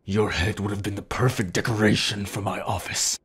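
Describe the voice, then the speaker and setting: A man plays a cruel werewolf gangster boss in an audio drama. His voice is rather low and extremely husky, full of sneering threat. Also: level tone, normal speed, a bit bright, heavily acted. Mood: menacing, gloating. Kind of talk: amateur audio roleplay drama.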